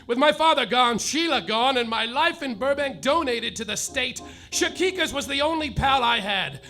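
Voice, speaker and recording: An actor playing a melodramatic, heartbroken private detective, declaiming a parody noir story in a live comedy radio play. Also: male voice, very high-pitched, expressive, moderate pace, bright, forceful tone, heavily acted. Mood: heartbroken, dramatic. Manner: overwrought, declamatory. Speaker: lead actor and narrator.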